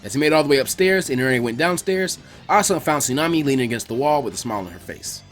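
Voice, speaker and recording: A man reading a scripted story aloud as its narrator, in an animated storytelling style. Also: medium pitch, racing, faint music behind. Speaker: narrator of fan fiction readings.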